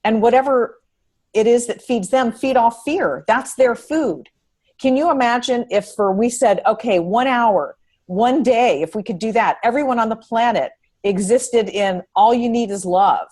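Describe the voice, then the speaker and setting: A woman speaking on a panel, explaining deliberately and using repetition for emphasis in unscripted talk. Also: fairly high pitch, a little mellow.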